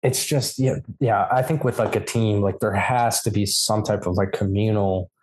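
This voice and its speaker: A young man in his twenties talks as a guest on a casual podcast. His points wander and he pads them with "like" and quick restarts. The talk is relaxed and loose. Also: on the low side. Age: 20s. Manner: rambling, leans heavily on "like" fillers. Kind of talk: casual chat.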